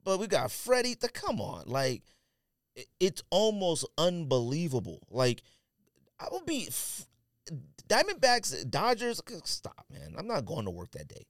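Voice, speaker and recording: Male podcast co-host, slangy and emphatic, very animated pitch, close dry sound. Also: unhurried, dry recording. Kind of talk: casual sports talk.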